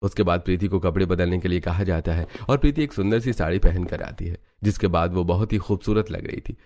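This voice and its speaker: A man's voice: sits low, brisk, darkish tone. A man narrating a scripted moral story as its storyteller.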